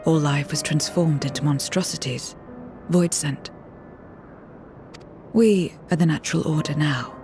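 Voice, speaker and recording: A woman playing an ageless, half-voidsent woman in a video game, grave, formal and measured, proud and detached. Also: deep voice, over music. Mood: somber, remembering. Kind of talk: dramatic video game cutscene acting.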